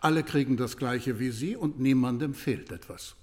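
Reverberant, deferential manservant played by an actor. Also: male voice, medium pitch, moderate pace, lightly acted. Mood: defensive. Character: deferential male household servant defending the kitchen. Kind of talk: German radio crime drama acting. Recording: long hall-like reverb.

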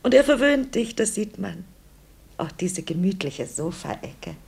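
A woman's voice: mid-range voice, big pitch swings, measured pace, creaky, darkish tone. An actress playing a doting, fussy middle-aged mother in a mid-century radio play, effusive and sentimental. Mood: delighted, gushing. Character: doting, fussy, increasingly uneasy visiting mother. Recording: noisy vintage radio play recording.

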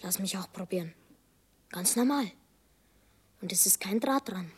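A performer playing a curious but nervous child sidekick in a children's radio play, hesitant, with a husky, breathy voice. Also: mid-range voice, a little slow. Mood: nervous, hushed.

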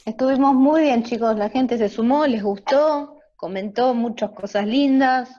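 Mellow-voiced woman, muffled audio. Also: on the high side, unhurried. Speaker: social media reporter on the show. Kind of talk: casual chat.

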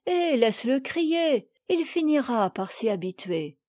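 A woman reading an audiobook, voicing a calm, wise mother in a measured, gentle way. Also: high-pitched, pitched above the performer's own voice, heavily acted.

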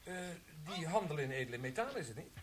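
An actor playing a curious police sergeant in a radio play, dry and inquisitive. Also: male voice, on the high side, big pitch swings, quick pace. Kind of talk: broadcast radio play acting.

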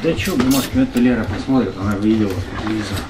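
A man's voice: fairly low pitch, very fast, a loud crowd. A man making casual small talk at a meeting. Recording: echoey meeting audio, loud music over. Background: loud music.

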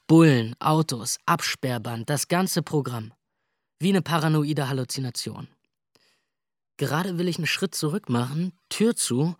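A wry, jittery young narrator. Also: male voice, on the high side, no echo. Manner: sardonic, dry asides. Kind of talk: radio drama first-person narration.

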